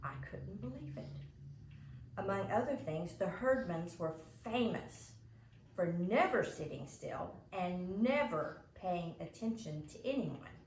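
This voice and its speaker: A woman reading a children's story aloud as an expressive storyteller, in a home recording. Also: lowish voice, slow. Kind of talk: expressive children's audiobook narration. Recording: roomy home recording, faint background noise.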